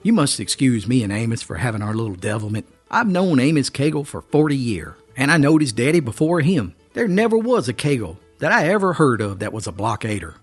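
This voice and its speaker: A man's voice: medium pitch, very animated, fairly quick, few pauses, slightly rough, soft background music, pitched above the performer's own voice. A man voicing a friendly, anxious male storekeeper with a dialect in a storybook reading. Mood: earnest. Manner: anxious, pleading, rural dialect. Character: high-pitched friendly middle-aged male storekeeper.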